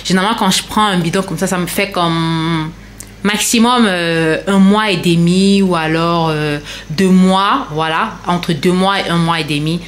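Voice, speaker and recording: Female lifestyle vlogger, slightly low register, informal direct-to-viewer delivery, light background noise. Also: measured pace. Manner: chatty, explanatory, addressing viewers directly. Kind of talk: casual grocery haul vlog narration.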